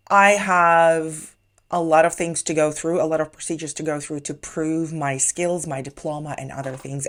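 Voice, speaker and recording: A woman from Ukraine speaks English with a Ukrainian accent in a low voice. She gives casual, chatty advice in a vlog and explains things step by step, with the odd "uh" and "um" along the way. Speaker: English teacher vlogger.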